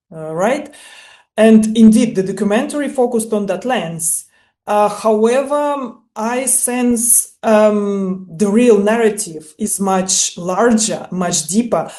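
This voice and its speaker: Woman, interview host, from ukraine and russia, polite, hesitant, warm tone, echoey room. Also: medium pitch. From born in Ukraine, grew up in Russia. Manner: polite, measured, hesitant with fillers. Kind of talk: interview questions.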